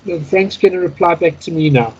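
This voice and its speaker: A man's voice: a little high, brisk, a little mellow. A man giving step-by-step spoken instruction as a teacher, announcing each item word by word over an internet call. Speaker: Morse code practice instructor. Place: Skype call. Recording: dull lo-fi internet call, clear noise.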